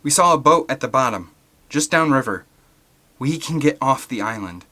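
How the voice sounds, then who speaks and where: Man doing an earnest, higher-pitched worried boy. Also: animated, normal speed, bright, forceful tone. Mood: eager. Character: eager, worried young boy in danger.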